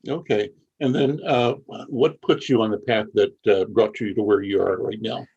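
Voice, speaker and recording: Older male host, husky and unhurried, slightly muffled recording. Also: fairly low pitch, steady tone, a little mellow. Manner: measured, with many "uh" pauses. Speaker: interviewer, show host. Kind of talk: reflective interview talk.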